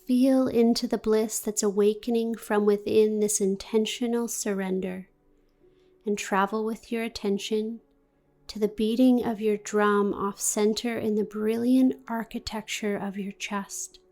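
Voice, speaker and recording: A woman guiding a meditation in a gentle, deliberate, soothing manner with long pauses. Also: mid-range voice, little pitch movement. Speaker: yoga nidra meditation guide. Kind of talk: guided meditation, scripted narration.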